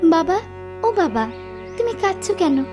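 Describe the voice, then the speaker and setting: Woman acting a very high-pitched innocent little girl. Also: very animated, measured pace, music in the background, heavily acted. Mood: concerned, questioning. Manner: childlike, sweet questioning. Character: innocent, curious, affectionate little village girl. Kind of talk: cartoon story voice acting.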